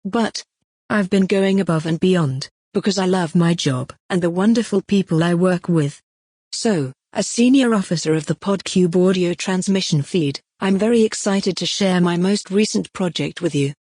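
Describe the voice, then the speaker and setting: A woman's voice: fairly low pitch, normal speed, mellow, breathy edge. A woman playing a self-promoting, cheerfully smug AI who presents her own podcast in a scripted comedic monologue. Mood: proud, excited. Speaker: podcast creator and host.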